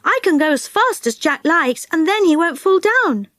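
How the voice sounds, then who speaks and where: A woman's voice: extremely high pitch, very animated, moderate pace, fairly bright tone, no room sound, clean studio sound, pitched above the performer's own voice, heavily acted. A woman voicing earnest, fretful, kind little girls in a children's storybook. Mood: concerned.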